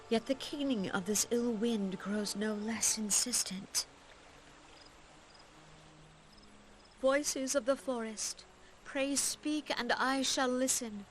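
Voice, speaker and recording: An actress playing a gentle, solemn spiritual leader in a fantasy game, speaking in an earnest, ceremonial way. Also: female voice, a little high, slight background noise. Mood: troubled, prayerful. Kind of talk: theatrical fantasy game dialogue. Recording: faint music under the voice.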